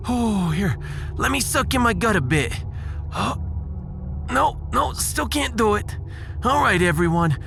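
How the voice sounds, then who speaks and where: A man voicing an excitable, blustering working-class ship's engineer with streetwise grammar in a sci-fi audio drama. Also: extremely high pitch, voice pushed up, exaggerated voice. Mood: bossy. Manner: streetwise, ungrammatical, exasperated.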